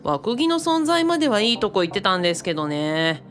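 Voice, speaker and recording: A woman playing a polite, earnest and easily flustered young man in an audio drama, in an eager, expressive way. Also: mid-range voice, brisk, natural voice. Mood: polite, explaining. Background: background music.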